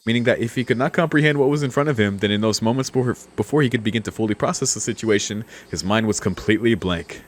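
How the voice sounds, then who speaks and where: A man's voice: fairly low pitch, fast talker, hardly any pauses. A man streaming a let's play, amused and slangy, commenting casually and reading lines aloud.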